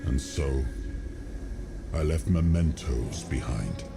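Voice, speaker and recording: A man voicing the spirit of a legendary king, speaking slowly and solemnly, with loud game music behind. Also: rumbling low, animated, vocal fry, full character voice. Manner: slow, solemn, brooding. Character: ghostly legendary king, grave and resolute.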